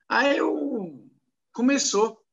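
A man speaking slow, halting, broken Portuguese as a non-native speaker, answering interview questions with many restarts. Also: extremely high pitch, animated, creaky, highs cut off. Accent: foreign, non-native Portuguese. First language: not Portuguese. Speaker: interviewee, businessman.

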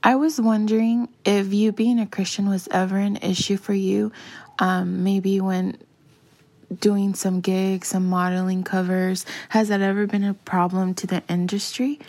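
A woman leaves a polite, earnest question in a voice message at a middle pitch. The recording is dry, with no room sound. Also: little pitch movement, measured pace, a little mellow, no room sound. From California. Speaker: caller.

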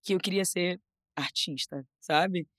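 A young woman from Rio with a Carioca accent, chatting as a podcast guest, animated, often restarting and repeating words. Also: lowish voice, unhurried, no echo, clean studio sound. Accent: Carioca Portuguese. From Rio de Janeiro, Brazil. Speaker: model and influencer, podcast guest. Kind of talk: casual interview chat.

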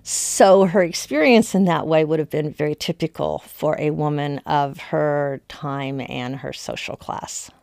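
A woman's voice: low-pitched, measured pace, gravelly, hardly any pauses, a little mellow, dry recording, clean studio sound. A woman giving informal history commentary as an expert, with an emphatic, conversational manner.

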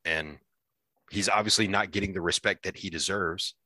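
A man co-hosting a podcast, talking casually about a series as a fan, often pausing and restarting his thoughts. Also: low voice, steady tone, pushed and bright, slightly rough, dry recording. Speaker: podcast co-host.

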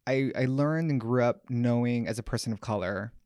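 A man's voice: medium pitch, moderate pace, a little mellow, smooth, dry recording. A man from Hawaii, a podcast guest telling his life story in a candid, reflective way. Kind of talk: personal storytelling interview.

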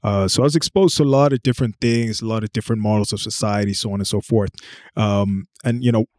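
Man from Brazil, podcast guest, quick talker, low voice, hedging, dry sound. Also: fairly even intonation, darkish tone, dry recording. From born in Brazil. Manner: rapid, hedging, full of "you know" fillers. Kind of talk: casual podcast discussion.

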